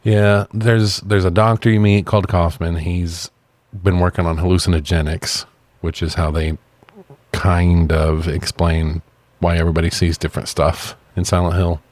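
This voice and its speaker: A man with a very low voice talks through a film as a podcast co-host. His delivery is casual and sweary, and the recording is dry and close. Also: little pitch movement, moderate pace, a little mellow, no echo. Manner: informal, sweary. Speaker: podcast co-host reviewing films. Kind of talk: casual film review chat.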